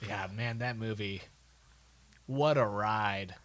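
A man's voice: lowish voice, takes it slowly, a bit bright, no echo. A man co-hosting a podcast, chatting casually in a laid-back manner. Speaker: podcast co-host. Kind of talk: casual chat.

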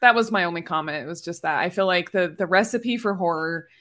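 A woman's voice: on the low side, fairly quick, a bit bright. A woman chatting informally and playfully as a panelist in a podcast discussion. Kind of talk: casual chat, podcast discussion.

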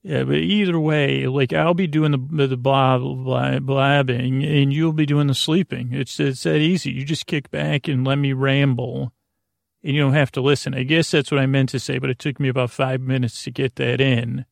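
Gravelly, rambling American man, bedtime podcast host. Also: mid-range voice, fairly even intonation, dry recording, clean studio sound. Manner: meandering, halting, stumbles and trails off mid-thought. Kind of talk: rambling bedtime monologue to help listeners sleep.

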